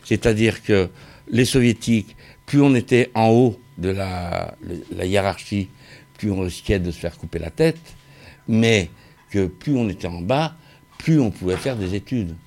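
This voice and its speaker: Low male voice, middle-aged, impassioned discussion, halting, insistent, repetitive phrasing. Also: a little mellow. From Vietnamese origin. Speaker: discussion participant, former craftsman and sound technician. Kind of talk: impassioned opinion in a group discussion.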